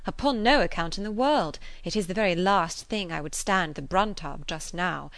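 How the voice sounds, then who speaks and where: A woman's voice: fairly low pitch, moderate pace, fairly bright tone, dry recording. A woman reader acts a clever young lady from a classic novel. She is self-assured and playful, teasing with arch, ceremonious politeness. The sound is close and dry. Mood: careless gaiety.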